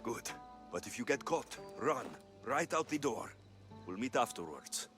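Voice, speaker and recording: An actor voicing a confident, protective mercenary in a video game, firm and direct. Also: male voice, medium pitch, sing-song, measured pace. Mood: firm, instructing. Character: confident Greek mercenary man, protective, blunt, calm. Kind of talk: video game cutscene acting. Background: background music.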